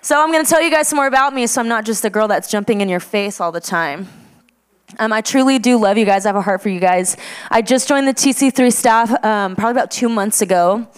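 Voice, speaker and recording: Young woman, youth leader, smooth voice, casual testimony with lots of fillers. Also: on the high side, fast talker. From Texas. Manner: chatty, self-deprecating, many fillers. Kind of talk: personal testimony to students.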